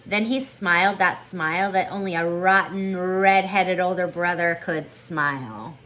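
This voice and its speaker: A woman teaching on a video call, reading aloud and chatting with children in an expressive, encouraging way. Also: lowish voice, unhurried, slight background noise, rough recording. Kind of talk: online storybook read-aloud with casual chat.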